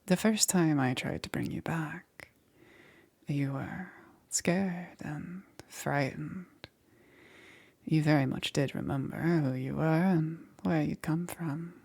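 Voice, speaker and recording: A woman playing a calm, eerily gentle witch necromancer in an ASMR roleplay, breathy and often whispering, with unhurried pauses. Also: low voice, exaggerated voice. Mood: soft, ominous. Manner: unhurried, halting with pauses, soothing. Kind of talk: ASMR roleplay, soft and intimate.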